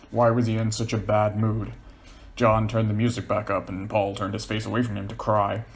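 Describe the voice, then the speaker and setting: A man reading scripted fiction aloud as the narrator. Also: fairly low pitch.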